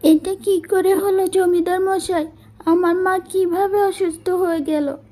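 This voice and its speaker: A young village girl who works as a maid, voiced by a woman in a cartoon moral tale. She is devoted, earnest and polite, with a high, mellow voice. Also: steady tone, measured pace. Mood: worried.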